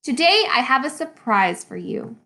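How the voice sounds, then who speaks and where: A woman's voice: high-pitched, big pitch swings, moderate pace, gravelly, fairly bright tone, heavily acted. A woman voicing a kind, book-loving village man in a children's storybook, earnest and eager.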